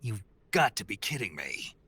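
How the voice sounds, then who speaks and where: A man playing a gruff, weary soldier on a covert mission in a video game, terse and dry with a gravelly rasp. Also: fairly low pitch, sing-song, moderate pace, bright, forceful tone, full character voice. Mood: exasperated, dry. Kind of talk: video game cutscene acting.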